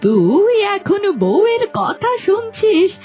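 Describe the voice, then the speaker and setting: A woman playing a harsh, domineering older mother-in-law in an animated folk story. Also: very high-pitched, slow pace, faint music behind, full character voice. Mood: angry, threatening.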